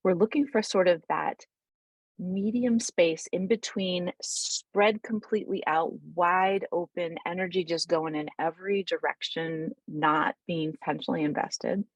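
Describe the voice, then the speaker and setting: Casting: female meditation guide, deep register with gravelly fry, soothing and informal, unhurried delivery, close dry sound. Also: no echo, lo-fi sound. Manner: soothing, unhurried, informal, with pauses mid-thought.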